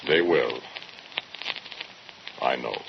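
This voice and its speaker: An actor playing an obsessed, fatalistic male scientist in an old-time radio drama, speaking haltingly and insistently. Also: a bass voice, vocal fry, heavily acted. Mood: resigned, insistent. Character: obsessed, fatalistic male scientist who builds weapons, growing paranoid. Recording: muffled, noisy old radio recording.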